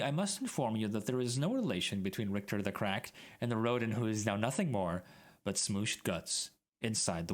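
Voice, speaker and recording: A man doing a dramatic storybook reading in a theatrical, mock-grand way. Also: medium pitch. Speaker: streamer reading a story aloud. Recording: background music mixed under voice.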